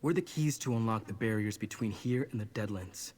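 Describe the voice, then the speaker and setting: A man's voice: lowish voice, fairly quick, darkish tone, lightly acted. An actor playing an earnest, apologetic young man burdened with guilt in a video game. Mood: despairing.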